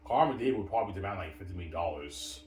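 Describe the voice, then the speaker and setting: Man, low-pitched voice, casual rambling, repetitive and boastful, emphatic bursts, distant roomy recording. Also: lively intonation, racing. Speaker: vlogger. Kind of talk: rambling casual hockey talk. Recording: roomy, distant mic, faint noise.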